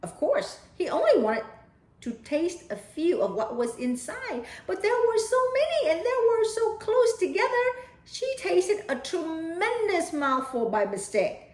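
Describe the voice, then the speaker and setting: A woman reading and talking to children as a storyteller, with deliberate, emphatic phrasing. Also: extremely high pitch, very animated. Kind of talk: children's read-aloud storytelling. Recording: echoey, roomy sound.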